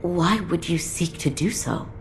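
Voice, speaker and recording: A woman playing a calm, knowledgeable physician in a video game, courteous, formal and reserved. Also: on the low side, unhurried, creaky, soft background music. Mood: calm, grave. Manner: formal, composed. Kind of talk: video game cutscene acting.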